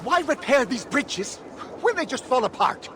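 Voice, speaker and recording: An actor playing a weary, resigned enslaved bridge mender in a dark fantasy video game, speaking grimly. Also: male voice, very high-pitched, very animated, normal speed, noisy background. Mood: weary resignation. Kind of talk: video game character acting.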